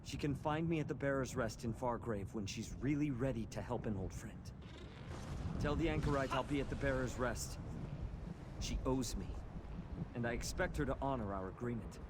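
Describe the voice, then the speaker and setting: A man plays a disgruntled male cult initiate in a fantasy video game, wary and exasperated, with a mid-pitched voice. He grumbles his secrets like someone anxious to get out. Clear background ambience runs under the voice. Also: moderate pace, very noisy, lightly acted. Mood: indignant, desperate.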